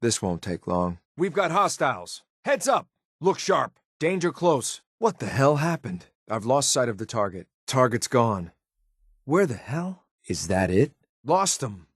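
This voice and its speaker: A man acting as a stoic, loyal but ruthless synthetic soldier in a video game, with a clipped, military, deadpan delivery. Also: medium pitch, a little slow, husky, dry recording, studio quality. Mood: cold, taunting, then urgent, aggressive. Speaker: companion character in a video game. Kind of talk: scripted game voice lines.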